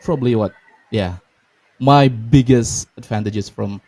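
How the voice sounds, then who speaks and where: Mellow-voiced Indonesian man co-host. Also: mid-range voice, a little slow. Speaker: podcast co-host. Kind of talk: casual chat.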